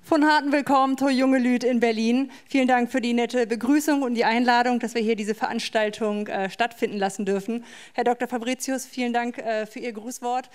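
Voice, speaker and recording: A woman's voice: a little high, steady tone, fairly quick, some chatter behind. A woman welcoming guests to an event as moderator, friendly and a little hesitant, with small fillers. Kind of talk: welcoming address.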